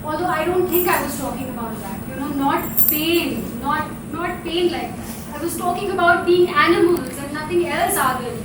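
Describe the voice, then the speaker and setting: An actress playing a restless wife who craves chaos, speaking on stage in hesitant, repeated phrases that can build toward excitement. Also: female voice, high-pitched, moderate pace, few pauses, background noise, close to an everyday voice. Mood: hesitant, earnest. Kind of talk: live stage play acting. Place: theatre stage, live performance. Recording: very echoey theatre, distant mic.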